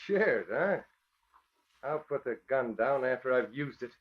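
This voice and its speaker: A man's voice: medium pitch, expressive, unhurried, close to an everyday voice. An actor playing a cold, taunting masked gunman with tough gangster talk in an old radio drama. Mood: threatening. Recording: muffled vintage radio recording.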